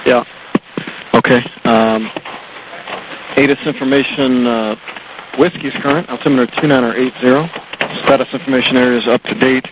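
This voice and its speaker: A man working as an air traffic controller, giving procedural information in a halting, matter-of-fact way over radio. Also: mid-range voice, fairly even intonation. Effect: aviation radio and landline. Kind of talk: radio phraseology, procedural position briefing.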